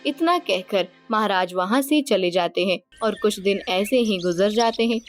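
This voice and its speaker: A woman's voice: mid-range voice, moderate pace. A woman narrating a scripted cartoon story. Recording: music under the voice. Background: background music.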